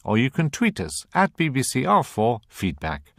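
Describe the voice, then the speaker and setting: A man's voice: mid-range voice, wildly swooping pitch, moderate pace, no echo, clean studio sound. A man presenting a radio programme, reading scripted links and putting questions to interviewees.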